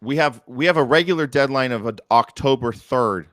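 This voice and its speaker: A man's voice: medium pitch, a little fast, a bit bright, no room sound, studio quality. A man speaking casually as a co-host and festival organizer. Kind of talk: casual chat.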